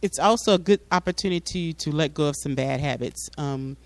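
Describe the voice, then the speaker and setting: A man's voice: on the high side, a little fast, no echo. A man giving an informal explanatory talk to a community audience, often repeating words as he searches for phrasing. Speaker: public speaker at a mosque. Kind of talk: informal public address with slides.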